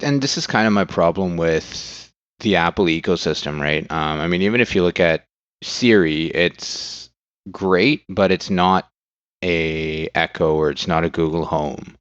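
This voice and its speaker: A man's voice: sits low, a little slow, fairly bright tone, smooth, no room sound, muffled recording. A man from Canada co-hosting a podcast, talking in a hesitant, hedging way. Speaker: podcast co-host. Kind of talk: casual tech chat.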